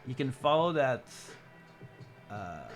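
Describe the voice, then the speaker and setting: A man's voice: medium pitch, big pitch swings, muffled recording. Rambling middle-aged man streamer over music. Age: 40s. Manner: halting, rambling, repeats words, chuckles. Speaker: live streamer. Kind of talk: casual chat with stream viewers.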